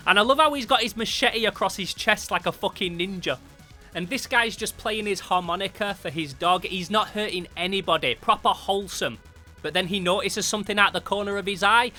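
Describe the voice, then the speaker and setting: A man's voice: high voice, sharp tone. A British man narrating a comic review in an informal, slangy, sweary and emphatic way. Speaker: host of a comic book review channel. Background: music.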